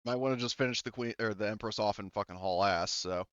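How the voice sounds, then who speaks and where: A man's voice: on the low side, fast talker, fairly bright tone, smooth, no echo. Laid-back man running a tabletop game. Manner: loose, rambling, laughs often. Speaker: game master. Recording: dull, lo-fi mic sound.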